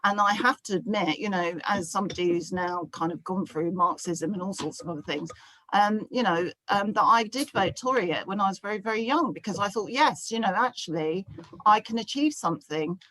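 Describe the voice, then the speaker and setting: A woman in her fifties from South London with a London accent, telling her own story in a live discussion and pausing often on fillers. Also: medium pitch, a bit bright, no echo, rough recording. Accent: London English. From South London, England. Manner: hesitant, many ums and fillers. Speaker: audience member asking a question. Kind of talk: casual personal remarks in a live discussion.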